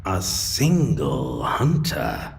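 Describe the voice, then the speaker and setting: A man playing a taunting, menacing vampire in a dark fantasy audio roleplay, speaking deliberately and mockingly, at times in breathy whispers. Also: huge pitch swings, slight background noise, full character voice. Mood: mocking menace. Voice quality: gravelly, low. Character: taunting, menacing male vampire, a lost love turned monster.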